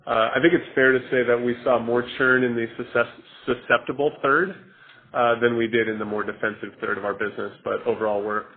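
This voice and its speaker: A man answering investor questions on a conference call as a company executive, in measured, hesitant corporate phrasing. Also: mid-range voice, level tone. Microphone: telephone conference call. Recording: narrowband phone-like conference line.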